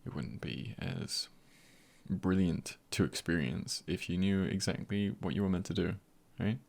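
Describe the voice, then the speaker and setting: A man playing an easygoing, comforting male friend in an intimate ASMR roleplay, husky and gentle, with unhurried pauses and light teasing. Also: exaggerated voice. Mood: gentle, reassuring. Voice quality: husky, breathy, gravelly low voice.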